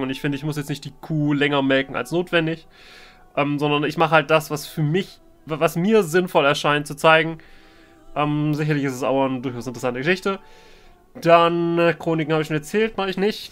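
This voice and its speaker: A man's voice: fairly high pitch, a bit bright, clear, resonant tone. A man giving casual let's play commentary, hesitant and rambling as he thinks aloud. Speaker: let's player, role-play gaming channel host. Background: game music.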